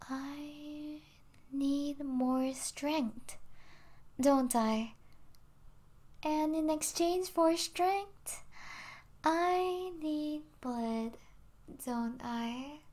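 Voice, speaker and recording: Slow, high-voiced seductive vampire princess, acted by a woman. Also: full character voice. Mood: coaxing, possessive. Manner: slow, teasing, soft delivery with intimate whispers. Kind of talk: ASMR roleplay acting.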